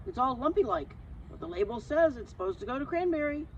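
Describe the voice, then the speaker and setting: Woman acting an exclaiming storybook sailor, lifted and lively. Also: sits high, fast, highs cut off, a little hiss or hum, pitched above the performer's own voice. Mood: astonished. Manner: emphatic, exclamatory. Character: puzzled, exclaiming male sailor in a children's storybook.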